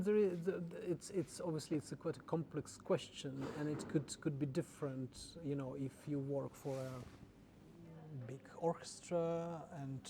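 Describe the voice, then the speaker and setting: Halting man with a higher voice. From Czech Republic. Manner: halting, with frequent repeated words. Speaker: orchestra general manager, guest. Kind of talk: conversational interview answers. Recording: noisy background.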